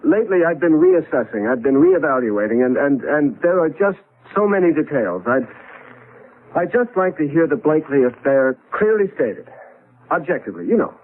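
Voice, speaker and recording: A man's voice: on the high side, animated, normal speed. An actor playing a nervous, evasive crooked businessman in 1970s radio drama, hesitant and stammering. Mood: contrite, evasive. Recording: muffled vintage radio broadcast.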